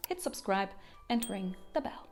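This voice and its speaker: A woman in her thirties from Germany, whose first language is German, hosts a casual explainer to camera. She talks in a middle-pitched voice, lively and emphatic, pushing key words up in pitch. Faint music sits under her voice. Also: moderate pace. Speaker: educator and channel host.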